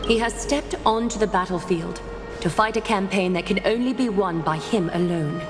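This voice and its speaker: A woman playing a composed, authoritative guide to heroes in a video game, measured, formal and stately. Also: medium pitch, natural voice. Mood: grave, measured. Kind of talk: scripted game voice acting. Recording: long reverb, loud music underneath.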